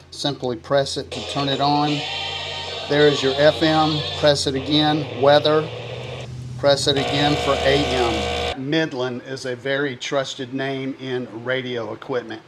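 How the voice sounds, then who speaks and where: A man presenting a product review, explaining features one by one in a plain, steady way. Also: medium pitch, measured pace, noisy background.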